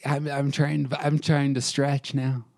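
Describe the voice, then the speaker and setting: A man's voice: medium pitch, little pitch movement, takes it slowly, gravelly, a little mellow, no echo, clean studio sound. A man co-hosting a podcast in casual debate, bantering in a self-deprecating way. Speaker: podcast co-host.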